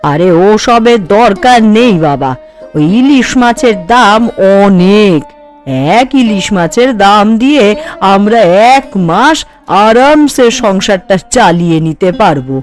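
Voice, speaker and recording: A woman plays an older cartoon matriarch, bossy and fretful with a motherly streak. She takes her time over every phrase at a middling pitch, with music playing behind her. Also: lively intonation, a little slow, natural voice. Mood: indignant. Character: older widowed mother-in-law, bossy, thrifty, fussing over sons. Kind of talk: Bengali cartoon voice acting.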